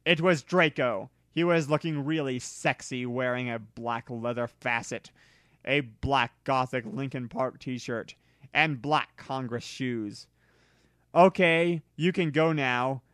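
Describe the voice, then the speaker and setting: Bright-voiced man giving a theatrical story reading. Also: medium pitch, steady tone, takes it slowly, no echo, clean studio sound. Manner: theatrical, exclamatory delivery with exaggerated emphasis. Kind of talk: dramatic reading of fan fiction.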